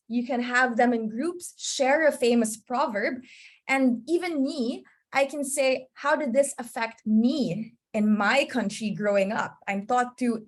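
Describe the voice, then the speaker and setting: A woman presenting an online teaching webinar over a video call, chatty and often checking in with her listeners. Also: fairly high pitch. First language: English. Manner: chatty, often checks in with "you know?" and "okay?".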